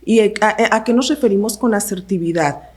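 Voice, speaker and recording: A woman, a podcast co-host explaining ideas in a measured way and repeating phrases for emphasis. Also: medium pitch, a little mellow, slightly rough. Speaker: podcast co-host, university professor. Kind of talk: panel discussion on a podcast.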